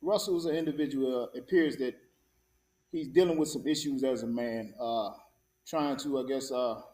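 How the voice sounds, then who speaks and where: A man's voice: medium pitch, darkish tone. Man chatting in an echoey room. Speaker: stage actor, show guest. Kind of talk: casual interview talk.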